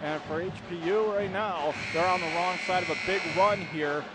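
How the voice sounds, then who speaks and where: High-pitched man calling basketball on radio. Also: normal speed. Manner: clipped running description of play in short phrases. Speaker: play-by-play basketball announcer. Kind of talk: live radio sports commentary. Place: indoor basketball arena. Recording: noisy live gym, bouncing ball behind. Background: loud game ambience, basketball bounces.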